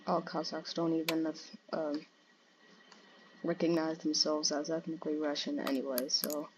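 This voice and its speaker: A young teen boy with a high, childlike voice, casually commenting on a strategy game in a cheeky, offhand way. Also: flat delivery, moderate pace, heavy background noise. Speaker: gaming channel host.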